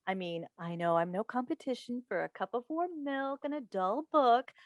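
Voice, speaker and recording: A woman's voice: a little high, lively intonation, moderate pace, no echo, studio quality, lightly acted. A woman in a staged play reading, playing a chatty, coaxing radio call-in host and doting, meddling mother with an emphatic theatrical delivery. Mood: chatty, confiding. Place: indoors on a video call. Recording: recorded over video call.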